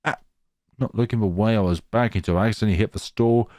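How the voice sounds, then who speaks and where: A man's voice: lowish voice, quick pace, darkish tone, no echo, clean studio sound. A man narrating a visual novel as a let's player, reading expressively and slipping into casual commentary.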